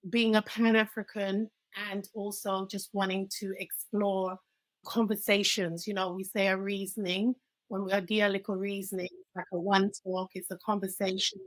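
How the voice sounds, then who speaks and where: An energetic woman with a British accent talks as a podcast guest, quick to laugh. Her voice sits in a middle register and is recorded dry and close, with no room sound. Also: mid-range voice, no echo, rough recording. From London. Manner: energetic, chatty, laughs easily. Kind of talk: casual interview chat.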